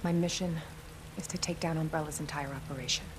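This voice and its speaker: An actress playing a composed female agent on a covert mission, speaking in clipped, calm commands. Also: low voice, fast talker, background noise, close to an everyday voice. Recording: loud game score behind.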